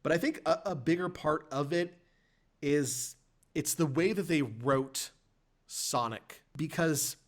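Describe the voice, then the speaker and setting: A man's voice: fairly high pitch, takes it slowly. A man hosting a podcast in a casual film review conversation, thinking aloud with restarts as he goes. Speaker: podcast host.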